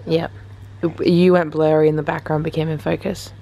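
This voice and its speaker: Hoarse young woman, lost voice. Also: low-pitched, flat delivery, moderate pace, mellow. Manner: teasing, playful. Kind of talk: casual chat. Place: library at night, indoors.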